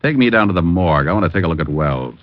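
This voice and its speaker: An actor playing a wry, unflappable private detective with a dry, clipped, wisecracking delivery in an old radio drama. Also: male voice, deep voice, animated, racing, background noise. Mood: explaining, sceptical. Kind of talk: 1940s-50s radio detective acting. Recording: dull vintage radio recording.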